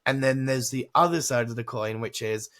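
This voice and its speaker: A man's voice: medium pitch, moderate pace, a bit bright. A man co-hosting a podcast, talking emphatically and conversationally as he makes his points. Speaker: podcast co-host.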